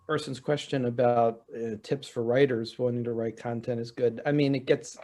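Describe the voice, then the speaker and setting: A man's voice: medium pitch, steady tone, moderate pace, dark tone. A man speaking as a convention panelist in a conversational way, qualifying his points as he goes.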